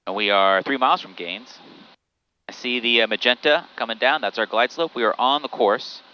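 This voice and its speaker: A man's voice: mid-range voice, normal speed. A man piloting solo, giving methodical, terse cockpit commentary with repeated checklist callouts, over an aviation headset. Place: small airplane cockpit in flight. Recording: muffled aviation headset audio, noisy cockpit.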